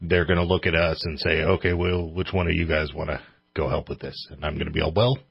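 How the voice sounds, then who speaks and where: A man's voice: extremely low pitch, level tone, fast, vocal fry, slightly rough, no room sound, highs cut off. A man hosting a radio show in casual chat, with an informal, joking manner.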